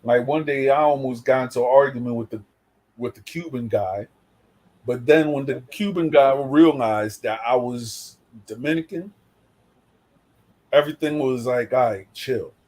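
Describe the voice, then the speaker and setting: A man's voice: medium pitch, normal speed, darkish tone. Casual rambling man from the Bronx. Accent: New York. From New York. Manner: loose, rambling storytelling with many fillers. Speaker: host. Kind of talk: casual chat.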